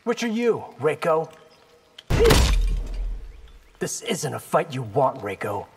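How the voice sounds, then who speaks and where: A man's voice: on the high side, big pitch swings, takes it slowly, full character voice. A man voicing a cocky, determined young male ninja fighter in video game fight banter. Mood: cocky, defiant. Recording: long hall reverb, loud noise behind.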